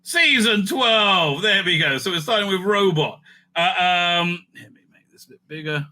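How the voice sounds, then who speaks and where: Very bright-voiced man, blunt and opinionated. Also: sits high, moderate pace. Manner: blunt, opinionated, sweary, repeats phrases for emphasis. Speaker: host of a fan review show. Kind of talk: casual rambling review and ranking commentary.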